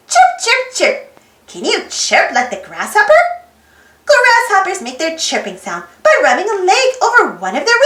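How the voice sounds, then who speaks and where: A woman reading a children's storybook aloud in an expressive, sing-song way, putting simple questions to young listeners. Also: very high-pitched, echoey room. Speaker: storybook reader. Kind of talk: children's read-aloud narration.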